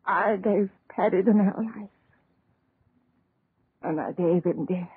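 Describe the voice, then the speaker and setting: An aged, sorrowful mother telling a grim tale in a 1930s radio play. Her voice is very husky and breathy, halting and slow. It comes through a thin, narrow old recording. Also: medium pitch, dry recording. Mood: anguished confession. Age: older. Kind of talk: 1930s radio acting, dramatic narration.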